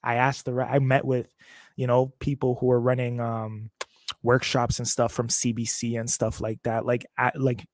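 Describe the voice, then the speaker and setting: A man's voice: medium pitch, dry recording, studio quality. A man as a guest on a podcast interview, speaking earnestly and searching for words as he goes. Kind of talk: casual conversational interview. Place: remote video call.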